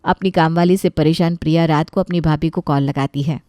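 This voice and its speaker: A woman's voice: low-pitched, fairly even intonation, brisk, warm tone, dry recording. A woman storyteller reading scripted narration of a family tale.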